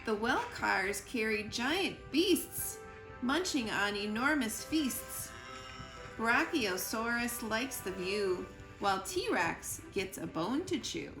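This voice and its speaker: Slow, creaky-voiced woman reading a storybook. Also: fairly high pitch, big pitch swings. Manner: deliberate, playful emphasis on rhyming words. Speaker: librarian reading a picture book aloud. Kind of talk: expressive children's storytime read-aloud. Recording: roomy echo with music behind. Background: music.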